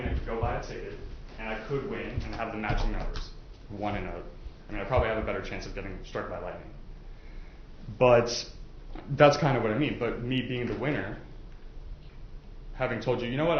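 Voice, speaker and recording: A man's voice: medium pitch, fairly quick, pauses often. A man speaking as a prosecutor questioning prospective jurors in a chatty, informal way, using everyday examples. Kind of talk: informal courtroom jury questioning. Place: courtroom. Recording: echoey, muffled, noisy courtroom recording.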